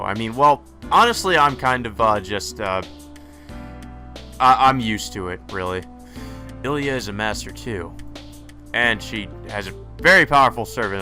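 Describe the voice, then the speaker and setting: A man with a low but bright, forward voice reads a visual novel aloud in first person. He steps out now and then with sarcastic asides of his own. Soft game music plays under him. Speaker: let's play narrator.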